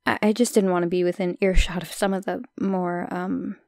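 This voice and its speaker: A young woman playing a shy, friendly, modest minor goddess in an audio roleplay, chatting hesitantly with soft asides. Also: lowish voice, level tone, normal speed, no room sound, studio quality, lightly acted. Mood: helpful, friendly.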